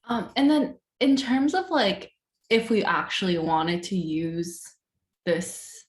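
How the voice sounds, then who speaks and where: A woman's voice: medium pitch, expressive, slow pace. A woman student speaking casually and tentatively in class, leaning often on "like" as she asks questions. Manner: tentative, frequent "like" as filler.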